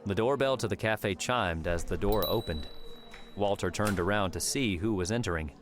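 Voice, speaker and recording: A man's voice: lowish voice, moderate pace. A man reading scripted story narration as an audiobook narrator. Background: music.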